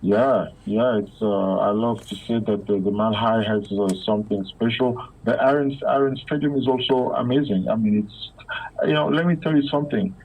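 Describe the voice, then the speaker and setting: A man from Belgium, a former pro football player, talking as a guest in an interview, halting with many repeated words and fillers, over a phone line. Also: lowish voice, a little mellow. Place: Africa.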